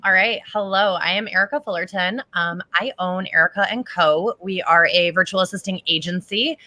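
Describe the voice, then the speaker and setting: A woman's voice: fairly low pitch, moderate pace, bright, forceful tone. A woman agency owner introducing herself on a video call, cheerful and full of "um"s. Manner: cheerful, filler-heavy.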